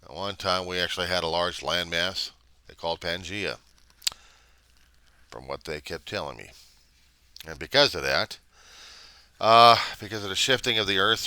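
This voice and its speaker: A middle-aged American man giving a casual, rambling monologue, halting as he thinks aloud in plain words. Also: sits low, sharp tone, smooth, no room sound. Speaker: vlogger.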